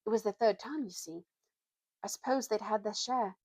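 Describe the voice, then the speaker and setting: A woman voicing an earnest, secretive schoolboy in a story reading, speaking solemnly and haltingly in old-fashioned schoolboy slang. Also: medium pitch, a little mellow, husky, no room sound, close to an everyday voice. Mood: solemn, thoughtful.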